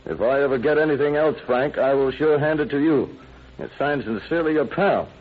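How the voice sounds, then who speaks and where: An actor playing an eager, plain-spoken deputy sheriff with a brisk, colloquial manner in an old-time radio crime drama. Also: male voice, medium pitch, natural voice. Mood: reporting. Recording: tinny, noisy vintage radio recording.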